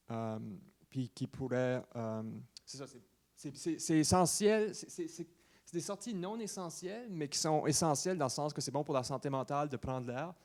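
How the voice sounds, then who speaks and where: Young man, Acadian New Brunswick French accent, medium pitch, informal meeting presentation, hesitant phrasing. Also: a little mellow. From Saint-Louis-de-Kent, New Brunswick, Canada. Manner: hesitant, pausing often mid-phrase. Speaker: student presenter to a municipal council.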